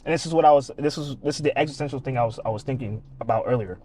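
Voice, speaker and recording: A man's voice: medium pitch, very fast, darkish tone. A man co-hosting a casual podcast chat, musing aloud with frank, swearing talk.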